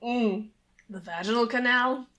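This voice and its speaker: Podcast co-host, female, late twenties: high, very bright voice, blunt and sardonic in casual chat. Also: measured pace. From Germany.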